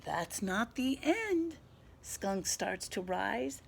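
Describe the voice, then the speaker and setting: A woman teacher reading a picture book aloud to young children, animated, pausing to explain things simply. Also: medium pitch, gravelly, slight background noise. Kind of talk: expressive picture-book read-aloud with asides.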